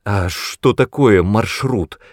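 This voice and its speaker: A man voicing an eager young male magician's apprentice in an audiobook, excitable, earnest and quick to protest. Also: on the low side, dry recording, studio quality.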